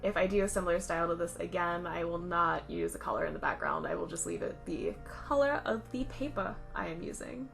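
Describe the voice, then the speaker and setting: A woman talking over a drawing video, slightly raspy from a cold, chatty and self-critical as she explains each step. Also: on the low side, very animated, brisk, few pauses, a bit bright, soft background music. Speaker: art channel host. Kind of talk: voice-over narration of a drawing process.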